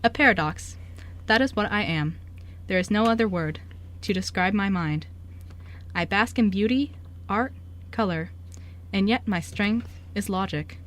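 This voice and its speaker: A woman's voice: mid-range voice, unhurried, long pauses, fairly bright tone. A young woman doing amateur scripted reading as a narrator and poetry reader.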